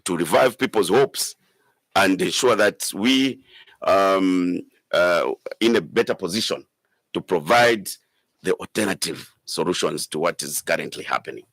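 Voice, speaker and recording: Zambian politician man, gravelly lowish voice, lots of pauses, choosing words carefully, dry sound. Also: expressive, a bit bright, no echo. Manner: hesitant, deliberate, pausing often. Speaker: politician, member of parliament. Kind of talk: spoken interview remarks.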